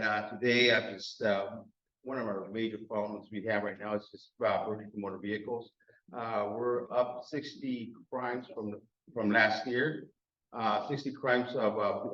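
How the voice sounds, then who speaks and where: A police officer giving a crime update at a neighborhood meeting, halting with many fillers, speaking from across a hall. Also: male voice, on the low side, fairly bright tone. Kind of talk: community safety report. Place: indoor community hall. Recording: distant echoey muffled room audio.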